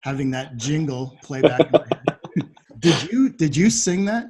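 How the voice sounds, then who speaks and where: Higher-voiced American podcast host, male. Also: expressive, unhurried, crowd noise. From Philadelphia, United States. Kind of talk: conversational interviewing.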